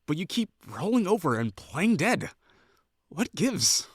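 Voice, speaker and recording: A man's voice: high voice, very animated, measured pace, husky, no room sound, studio quality, full character voice. A young man voicing a prickly, condescending perfectionist classmate in an audio roleplay, talking in a bossy, sarcastic way. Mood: exasperated, heated. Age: young adult. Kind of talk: scripted roleplay acting.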